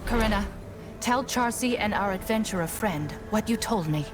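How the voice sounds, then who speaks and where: A woman playing a stern, vigilant warrior sister in a video game, speaking gravely and earnestly as someone troubled and suspicious. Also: mid-range voice, animated, normal speed, natural voice. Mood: grave, concerned. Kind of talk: dramatic video game voice acting. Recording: music underneath the voice. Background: game music.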